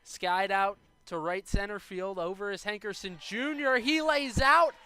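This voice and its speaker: A man's voice: sits high, unhurried, pushed and bright, clear, resonant tone, no echo, studio quality. A young American man calling baseball play-by-play as a live broadcast commentator. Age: young adult.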